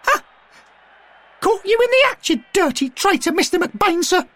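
A man's voice: very high-pitched, big pitch swings, normal speed, sharp tone, no echo, pitched above the performer's own voice, exaggerated voice. A man voicing a gleeful young male football fan who mocks and taunts with exaggerated politeness. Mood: gleeful jeering. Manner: mocking, cackling, formally polite insults.

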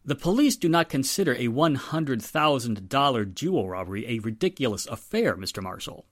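An actor playing a firm, courteous police inspector with a formal, authoritative manner in 1940s radio drama style. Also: male voice, medium pitch, sing-song, a little fast, dry recording, clean studio sound. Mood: stern, controlled.

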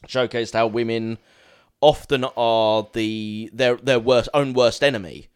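A man's voice: medium pitch, steady tone, unhurried, smooth. Rambling Essex man. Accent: Essex English. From Essex, England. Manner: rambling, laughs easily, swears casually. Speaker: podcast co-host. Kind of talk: casual film chat.

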